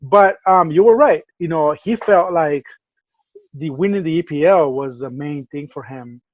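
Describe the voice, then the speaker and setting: A man's voice: fairly high pitch, unhurried, dry recording. Salvadoran-accented man on a dull lo-fi line. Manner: easygoing, rambling with many fillers. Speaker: podcast co-host. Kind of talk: casual chat.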